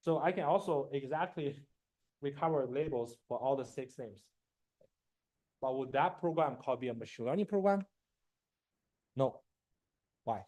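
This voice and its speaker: A man in his forties, a native Chinese speaker, giving a university machine learning lecture in a halting way, full of fillers and a constant "right?", often clearing his throat. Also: mid-range voice, expressive, a little mellow, no echo, poor audio. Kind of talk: classroom lecture.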